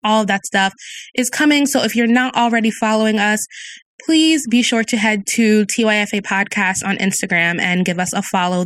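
A woman's voice: medium pitch, fairly bright tone, no echo. A woman hosting her own podcast in a friendly, chatty way, with a soothing voice and a slight speech impediment. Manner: friendly, conversational, reads listener reviews aloud. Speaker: podcast host. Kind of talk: solo podcast episode, chatty.

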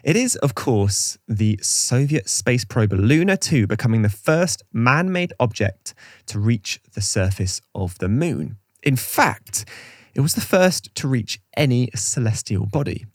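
A man presents a podcast from a script in a dry, close-sounding recording. His voice sits at a middling pitch. He slips chatty, wry asides into the presenting. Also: sing-song, measured pace, no echo. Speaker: podcast co-host.

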